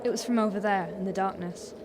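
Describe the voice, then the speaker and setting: An actress plays a young woman on a dangerous search in a horror drama. She is firm, urgent and commanding, with an ordinary mid pitch. Heavy background noise and ambience surround her voice. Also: steady tone, fast talker, lightly acted. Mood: tense, alert. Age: young adult. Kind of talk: dramatic voice acting in a horror motion comic.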